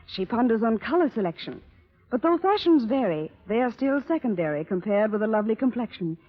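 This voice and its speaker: Lively woman reciting muffled radio verse. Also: on the high side, brisk. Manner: rhythmic rhymed recitation. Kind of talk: 1930s radio comic verse advertisement. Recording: muffled vintage radio recording.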